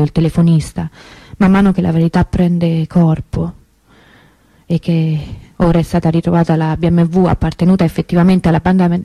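An actress playing the grieving, bitter sister of a kidnapped girl in a radio monologue, measured and emphatic. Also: fairly low pitch, warm tone, dry recording, low-quality recording. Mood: anguished.

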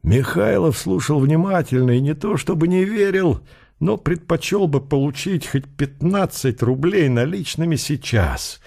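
A man reading literary narration in an unhurried, characterful storytelling manner. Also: a little high, expressive, gravelly, mellow. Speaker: narrator of an audio drama.

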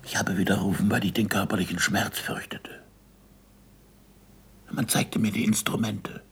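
An actor playing a weary, bitter old scientist in a 1960s German radio play, speaking in formal, deliberate, sardonic phrases with a husky voice. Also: male voice, lowish voice, fairly even intonation, heavily acted. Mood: resigned. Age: older. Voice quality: gravelly, husky, breathy.

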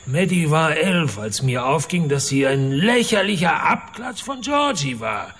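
A man's voice: a little high, very animated, measured pace, a bit bright, a little hiss or hum. An actor playing a crude, boastful, middle-aged wealthy father in a radio drama, with a mocking, theatrical manner. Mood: confessing.

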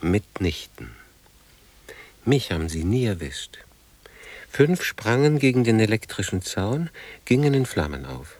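A haunted war survivor, half drunk and defiant, in a poetic radio drama. His voice is lowish and husky. He swings erratically between breathy murmurs and animated outbursts. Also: measured pace, pauses often, dry recording, full character voice. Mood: fierce.

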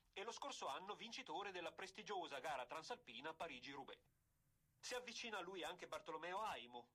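An actor playing an emphatic 1920s radio commentator who announces a bicycle race in a formal, declamatory way. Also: male voice, high-pitched, sharp tone, husky, heavily acted. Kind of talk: period sports commentary, theatrical radio acting.

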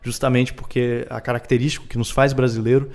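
Brazilian male guest academic, medium pitch, conversational explainer who repeats and restarts phrases emphatically. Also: level tone, fairly quick, darkish tone. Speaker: guest historian.

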